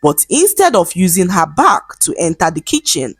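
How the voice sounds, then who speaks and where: A woman narrating a scripted folktale in an expressive, dramatic storytelling manner. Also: on the low side, moderate pace, breathy edge, no echo.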